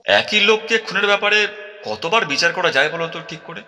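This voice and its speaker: Shrewd, self-assured male detective, played by a man, audio drama; slightly high, deliberate, in a long reverb. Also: sharp tone, exaggerated voice. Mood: calm, knowing. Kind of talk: Bengali audio drama acting.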